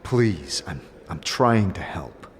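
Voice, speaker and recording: A man playing an earnest, tactful wanderer in an audio drama, measured and careful in his speech. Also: low voice, animated. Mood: urgent, pleading. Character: earnest, calm male wanderer, tactful and direct.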